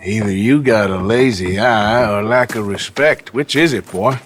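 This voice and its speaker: An actor playing a menacing, sardonic American outlaw with a Western drawl in a game cutscene. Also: male voice, on the low side, measured pace. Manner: dry, sardonic, threatening. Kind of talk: dramatic game cutscene acting.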